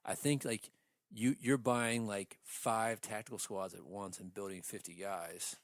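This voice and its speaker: A man's voice: on the low side, fairly even intonation, unhurried, dry recording, clean studio sound. A man co-hosting a podcast, chatting casually and bluntly, with some swearing. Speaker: podcast co-host. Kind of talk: casual chat.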